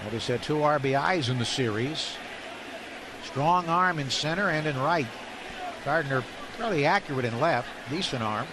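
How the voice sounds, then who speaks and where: A man's voice: fairly high pitch, expressive, normal speed. A man doing live radio baseball play-by-play in clipped, descriptive phrases from a stadium booth. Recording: loud ballpark crowd and ambient noise.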